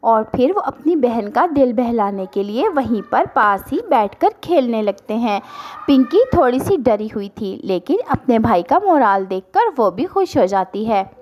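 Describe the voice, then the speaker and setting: A woman's voice: on the high side, big pitch swings, a little slow, a little mellow. A woman narrating a scripted cartoon story for children as the storyteller.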